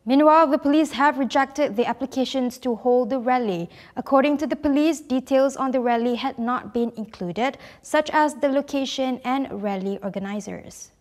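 A woman anchoring the news, reading scripted links in a crisp delivery. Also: high voice, normal speed, few pauses, darkish tone.

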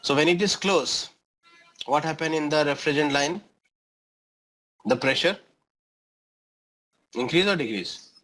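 A man's voice: a little high, a little slow, pauses often, fairly bright tone. A middle-aged man with an Indian accent giving an explanatory lecture as an engineering instructor, often checking understanding with short questions and tags.

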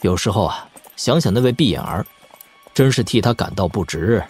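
A sardonic young army lord in a wuxia audio drama, lowish voice, bit of music behind. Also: male voice, expressive, normal speed, a little mellow, husky. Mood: scornful, wry. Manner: wry, expounding at length, with snorts and sighs. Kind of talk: Chinese martial-arts audio drama acting. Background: background music.

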